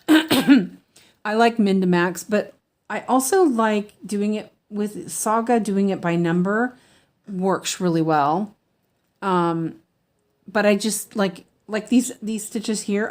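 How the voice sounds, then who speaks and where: A woman chatting casually while stitching at home, rambling with lots of ums, a little hoarse from allergies. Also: mid-range voice, darkish tone. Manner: chatty, rambling, many ums and self-corrections. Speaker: cross-stitch streamer. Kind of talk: casual stitch-along chat.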